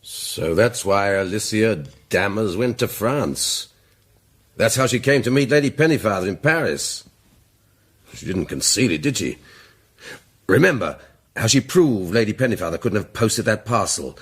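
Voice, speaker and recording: Low, husky gentleman sleuth. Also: animated, unhurried. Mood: stunned realisation. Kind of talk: radio drama acting.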